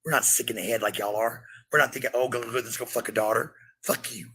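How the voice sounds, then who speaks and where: A woman with a low-pitched voice delivering an angry, confrontational rant, swearing freely and repeating herself as a parent hitting back at critics. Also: fairly even intonation, fast, a bit bright, husky. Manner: combative, profane, repetitive. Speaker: parent answering online critics.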